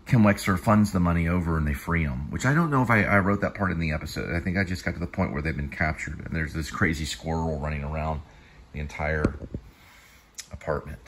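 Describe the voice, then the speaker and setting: A man in his fifties talking casually in a rambling, halting way, often digressing and restarting phrases as a show host. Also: extremely low pitch, slight background noise. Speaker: show host, actor and teleplay writer. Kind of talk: rambling casual vlog talk.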